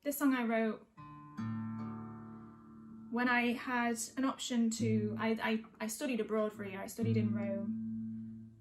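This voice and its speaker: Young female singer-songwriter, high-pitched voice, hesitant reflective chat between songs, informal online gig. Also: unhurried, pauses often, over music. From North West England.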